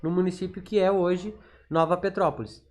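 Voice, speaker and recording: A man's voice: high voice, fairly even intonation, darkish tone. A man with a southern Brazilian accent from Rio Grande do Sul, explaining informally as a podcast guest and often repeating words as he searches his memory. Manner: halting, repeats words while recalling.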